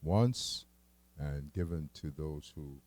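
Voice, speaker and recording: A man leading a poetry workshop, introducing a colleague and himself in a halting way with many fillers. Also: extremely low pitch, darkish tone. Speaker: workshop leader.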